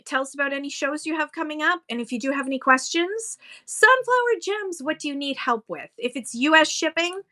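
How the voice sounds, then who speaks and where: A woman's voice: sits high, expressive, a little fast, hardly any pauses, sharp tone. A Canadian woman hosting a seller livestream, chatting casually and reading viewer comments aloud with frequent ums. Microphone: phone.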